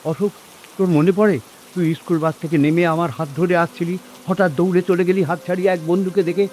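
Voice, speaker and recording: A man playing a gentle, uneasy elderly man in a radio drama, speaking hesitantly and earnestly. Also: high-pitched, steady tone, fairly quick, slight background noise, natural voice. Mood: wistful. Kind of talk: Bengali radio drama acting.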